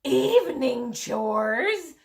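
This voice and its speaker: Young storybook princesses, acted out by a woman reader, speak in a high, swooping voice. They are proud and dramatic, drawing out their words as they exclaim. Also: big pitch swings, drawn-out words, creaky, heavily acted. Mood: exhausted, pleading.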